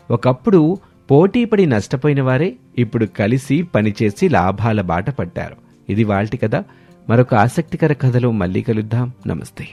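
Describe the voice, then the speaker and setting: A man's voice: mid-range voice, a little mellow. A man reading scripted narration of a moral story as the storyteller. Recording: music behind the voice. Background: background music.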